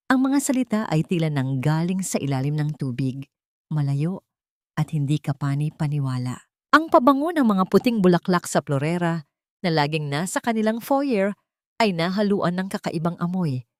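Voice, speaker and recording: A woman with a low voice reads a dramatic story in a slow, measured, solemn way. The recording is dry and close, with no room sound. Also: a little mellow, no room sound. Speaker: narrator. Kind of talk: scripted dramatic narration.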